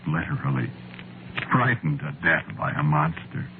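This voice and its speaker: An actor playing a weary, meek, haunted man who confesses in a halting, deliberate way, in the style of 1940s radio drama narration. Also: very deep voice, expressive, background noise. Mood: haunted, grave. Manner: hushed, deliberate, halting, conversational. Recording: muffled vintage radio recording, music behind.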